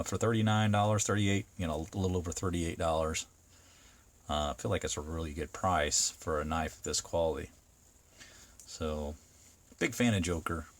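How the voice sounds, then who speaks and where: A man's voice: sits low, slight background noise. A man giving a casual, unscripted product review as a video host, talking in an easygoing, meandering, hesitant way.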